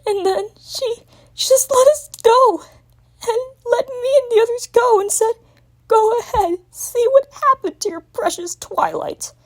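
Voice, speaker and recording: Woman voicing a sobbing, shrill little boy dragon. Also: unhurried. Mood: sobbing, panicked. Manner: whimpering, sobbing, stammering through cracking voice. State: crying. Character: distraught young male dragon confessing a nightmare. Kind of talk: dramatic reading of fan fiction.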